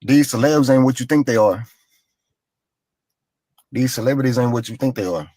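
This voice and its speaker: A man's voice: mid-range voice, moderate pace, dark tone, no echo. A man in a played clip telling a plain, aggrieved personal story and repeating his points.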